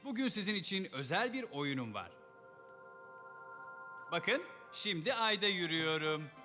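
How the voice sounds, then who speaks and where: Casting: showy kids' cartoon presenter, swooping melodic delivery, unhurried, cheerful, big reverberant space with music. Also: male voice, high-pitched, very animated, sharp tone, heavily acted. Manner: announcing, drawn-out showmanship. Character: cheerful, showy space show host for children. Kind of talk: Turkish animated series dub. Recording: reverberant hall, music behind.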